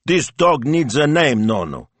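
A man's voice: mid-range voice, big pitch swings, a little slow, no echo, pitched above the performer's own voice. A man voicing a small, bossy, mischievous granddaughter in an audiobook story, with an emphatic, stubborn way of talking. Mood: bossy, insistent. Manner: emphatic, declarative.

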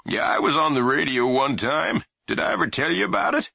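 A man's voice: fairly high pitch, lively intonation, brisk, creaky, pushed and bright, slightly rough, dry recording, voice pushed up, exaggerated voice. A man voicing a rambling, boastful old grandfather in a storybook reading. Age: over 60.

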